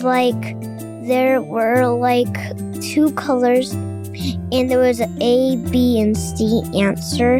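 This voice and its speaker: An eight-year-old child giving a simple, halting review as a podcast segment. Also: medium pitch. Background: children's music.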